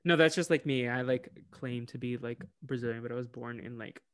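A man co-hosting a podcast, recapping a cartoon episode in casual chat, animated and laughing often. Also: medium pitch, fairly quick, no room sound, studio quality. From United States. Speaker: podcast co-host.

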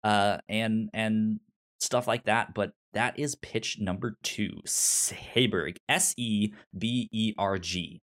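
A man with a pronounced stutter, casually pitching films as a podcast host in a halting, informal way. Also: steady tone, fairly bright tone. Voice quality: pronounced stutter with repeated initial sounds. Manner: halting, informal, hesitant phrasing.

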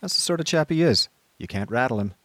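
A man playing a genial young gentleman narrator in a radio drama, telling his story in old-fashioned conversational style. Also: medium pitch, lively intonation, fast talker, a little hiss or hum, lightly acted. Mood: wry recollection. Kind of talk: scripted first-person radio drama narration.

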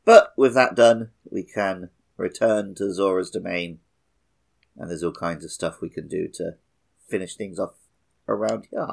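A man's voice: low voice, unhurried, creaky. A man co-hosting a podcast, explaining things in a casual, conversational way. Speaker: podcast host.

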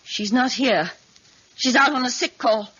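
An actress playing a cold, oddly composed, insistent daughter in a murder case, in 1950s radio drama style with clipped delivery. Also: female voice, a little high, measured pace, sharp tone, a little hiss or hum. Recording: dull lo-fi 1950s radio recording.

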